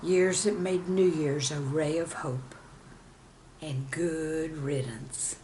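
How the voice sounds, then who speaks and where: Slow-paced woman storyteller, noisy recording. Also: low-pitched, steady tone, warm tone. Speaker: storyteller and channel host. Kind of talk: scripted storytelling and poem reading.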